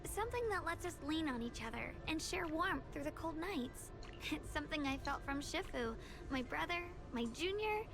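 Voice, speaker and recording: A woman voicing a gentle, earnest young martial disciple in a video game, sincere and a little hesitant. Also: high voice, vocal fry, background noise. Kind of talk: scripted video game voice acting. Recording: game music behind the voice.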